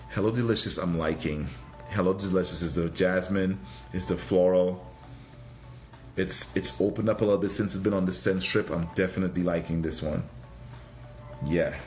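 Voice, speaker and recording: A man from Trinidad giving a casual live product review, thinking aloud in a hesitant, musing way and often repeating his phrases. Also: low-pitched. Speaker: fragrance reviewer and livestream host.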